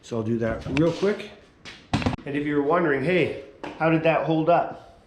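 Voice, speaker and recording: A man casually narrating his repair work in a workshop, half muttering to himself as he works, a little hoarse. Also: fairly high pitch, normal speed, reverberant, noisy background. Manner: relaxed, mutters quietly while working. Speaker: vlogger working on a small motor vehicle.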